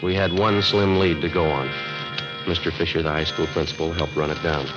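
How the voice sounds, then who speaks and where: A man acting a calm, no-nonsense police detective with an American accent, matter-of-fact and deliberate, in 1950s radio drama style. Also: low-pitched, natural voice. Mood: dry narration. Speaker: police sergeant and narrator. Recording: dull old radio recording, roomy, music behind.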